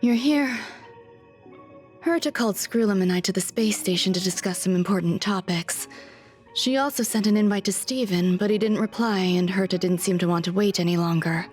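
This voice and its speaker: A woman's voice: mid-range voice, normal speed, darkish tone, faint music behind, lightly acted. An actress playing a composed, aloof genius scientist in a video game, politely commanding in her manner. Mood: composed, quietly commanding. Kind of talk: video game character acting.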